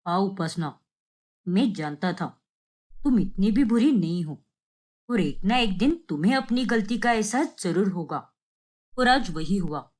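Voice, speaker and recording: A woman's voice: medium pitch, animated, a little slow, long pauses, darkish tone, voice pushed down, lightly acted. A woman voicing a middle-aged father in a cartoon story, sarcastic and firm, with a theatrical, taunting manner. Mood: reassuring.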